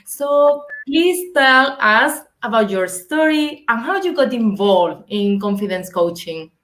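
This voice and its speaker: A woman's voice: a little high, expressive, a bit bright, poor audio. Polite woman interview host. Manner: measured, polite, brief affirmations. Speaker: podcast host. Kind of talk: hosted interview.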